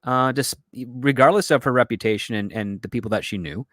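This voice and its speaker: A man's voice: mid-range voice, brisk, a bit bright, no room sound. Man giving emphatic commentary, dry close sound. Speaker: panel guest, commentator. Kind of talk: opinionated conversational commentary.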